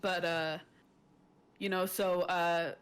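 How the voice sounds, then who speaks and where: A woman's voice: lowish voice, flat delivery, slow pace. A woman playing a tabletop game, talking through her character's moves in a casual, hesitant, wry, rambling way. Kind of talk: casual improvised role-play chat. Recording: some background noise.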